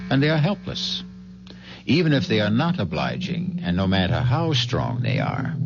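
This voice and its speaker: A man's voice: low voice, gravelly. A man hosting a radio mystery series, narrating from a script with portentous, sardonic theatricality. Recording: dull vintage radio recording, music behind.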